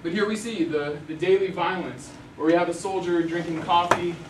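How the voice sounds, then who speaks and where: A young man gives a relaxed talk in a university hall, filling gaps with ums and restarting sentences. His voice is slightly high. It is picked up from far off with plenty of room echo and steady background noise. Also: normal speed. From Arizona, USA. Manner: casual, many ums and restarts. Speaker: journalist giving a talk. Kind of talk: lecture with slides. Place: university lecture hall, indoors. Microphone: room mic at a distance. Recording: echoey hall, mic far off, noisy.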